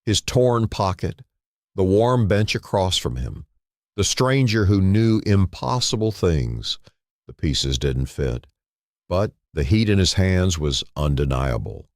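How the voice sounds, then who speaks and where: A man with an extremely deep voice reads a dramatic story. He takes it slowly and solemnly, parcelling it out in short phrases with pauses between them. The sound is dry, with no room around it. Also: sing-song, no room sound. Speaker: narrator. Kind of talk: dramatic scripted story narration.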